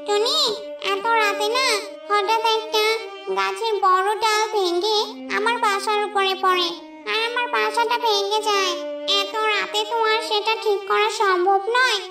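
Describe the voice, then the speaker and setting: A storyteller puts on a fussy, dramatic mother bird for a cartoon fable. The voice is extremely high and shrill, with a whiny, drawn-out way of complaining. It sounds echoey and distant, over music. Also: fairly even intonation, vocal fry, exaggerated voice. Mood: pleading, embarrassed. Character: harsh, suspicious mother bird, later wailing and pleading.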